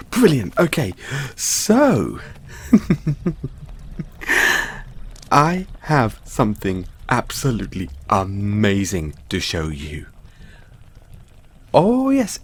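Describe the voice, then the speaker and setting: Guy doing a giddy mad scientist boyfriend for audio roleplay, breathy, voice swoops all over, affectionate and theatrical. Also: medium pitch, full character voice. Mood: giddy, excited. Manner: excitable, theatrical, exclaiming and coaxing. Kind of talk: audio roleplay addressed to the listener.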